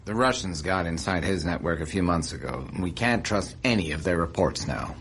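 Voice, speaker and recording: A man's voice: low voice, lively intonation, a little fast, a bit bright, slight background noise. An actor playing an earnest, somewhat hesitant male intelligence officer in a naturalistic radio drama. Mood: worried. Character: Richard Helms, earnest male CIA officer seeking advice.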